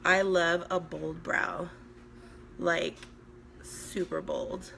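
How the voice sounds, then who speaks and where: A woman in her thirties from Sweden talks casually into a phone held at arm's length in a small room at home, a makeup artist chatting with her viewers. Her voice is bright but hoarse, as if it is still coming back. A faint hiss sits under the recording. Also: on the low side, little pitch movement, drawn-out words, rough recording. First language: Swedish. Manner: chatty, reads viewer comments aloud while working. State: hoarse, voice recovering. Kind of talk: live makeup stream chat.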